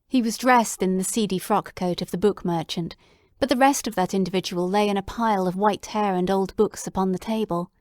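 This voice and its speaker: A woman giving steady first-person narration for a dramatic audiobook reading. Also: mid-range voice, a little fast, no echo, studio quality.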